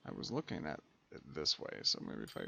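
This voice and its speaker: Man, gaming host, bright forceful tone, creaky, casual commentary, thinking aloud, faint noise behind. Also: a bass voice, wildly swooping pitch, a little fast, lo-fi sound. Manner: thinking aloud, muttering to himself. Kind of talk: casual let's play commentary.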